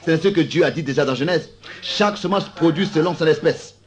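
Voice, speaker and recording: A hoarse man preaching a sermon in a school auditorium, speaking with a slow, halting delivery and frequent restarts. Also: fairly high pitch.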